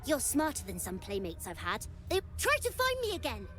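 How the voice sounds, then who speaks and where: A woman's voice: fairly high pitch, wildly swooping pitch, normal speed, a bit bright, faint music behind. A woman voicing a playful, indignant young child in a video game. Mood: petulant, playful. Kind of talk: video game character dialogue.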